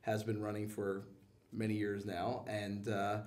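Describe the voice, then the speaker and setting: A man's voice: lowish voice, monotone, unhurried, a little mellow. A man speaking as an interview guest in a casual, enthusiastic, slangy and joking way. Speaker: guest, comic book and animation producer. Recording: voice somewhat far from the mic.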